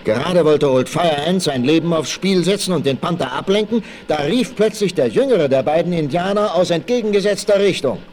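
An actor narrating a western adventure in an emphatic, suspenseful style for a 1960s radio play. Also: male voice, a little high, animated, brisk, few pauses, a little mellow, lightly acted. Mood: dramatic, gripping. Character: dramatic storyteller of a western adventure. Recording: vintage vinyl radio play, faint noise.

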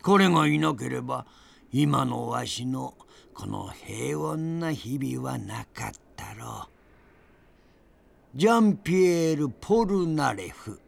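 An actor playing a spirited old grandfather in an audio drama, narrating his memories with theatrical, deliberate pauses and a gravelly voice. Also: medium pitch, animated, full character voice. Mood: fond, proud. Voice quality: gravelly, creaky. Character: spirited old grandfather reminiscing fondly about past adventures.

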